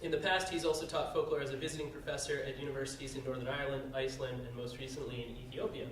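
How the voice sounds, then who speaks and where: A man's voice: mid-range voice, steady tone, rapid-fire, a little hiss or hum. A man moderating a conference panel, reading the speakers' bios aloud with frequent "uh" fillers. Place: conference room. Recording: very echoey room, heard from a distance.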